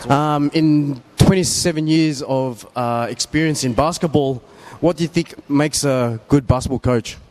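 A man's voice: on the high side, a little slow, few pauses, a little mellow. A man hosting a radio sports interview, putting prepared questions to a guest. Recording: faint background noise.

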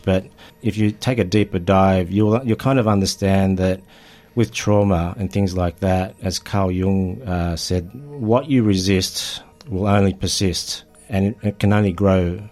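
Deep-voiced man speaking hesitantly. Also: steady tone, a little mellow. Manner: hesitant, halting, repeating words. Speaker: writer and storyteller. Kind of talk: reflective interview talk.

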